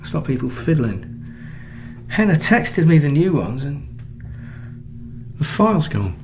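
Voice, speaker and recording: A distracted, harried husband in a naturalistic radio play. His fairly high voice swings up and down in a lively way as he apologises and hesitates. The room rings around him, with noise underneath. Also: slow, reverberant, soft background music, exaggerated voice. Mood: agitated, stressed. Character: harried, distracted husband juggling work calls and house problems. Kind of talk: naturalistic radio drama acting.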